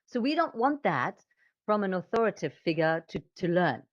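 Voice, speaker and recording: A woman explaining things conversationally as a voice coach, with an expressive, emphatic way of talking. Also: on the low side, normal speed, no echo, lo-fi sound.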